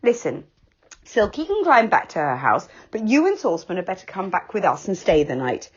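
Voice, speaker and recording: A woman voicing a sensible young schoolboy who gives brisk, bossy instructions in a raised voice. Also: mid-range voice, huge pitch swings, breathy edge. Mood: urgent. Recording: dull lo-fi sound.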